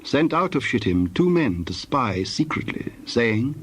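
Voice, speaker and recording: A man narrating scripture in a solemn, scripted storytelling style with a husky voice. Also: mid-range voice, sing-song, measured pace, background noise.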